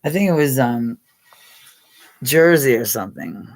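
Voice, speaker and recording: A woman's voice: extremely low pitch, lively intonation, unhurried, a little mellow. Middle-aged American woman, chatty livestream host, rambling and giggly. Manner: rambling, chatty, laughs often, talks straight to viewers by name. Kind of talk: casual live chat with viewers.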